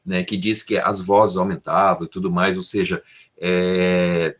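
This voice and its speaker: A man's voice: sits low, level tone, measured pace, a bit bright. A Brazilian man chiming in during a classroom Bible lesson, explaining with hesitant restarts. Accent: Brazilian Portuguese.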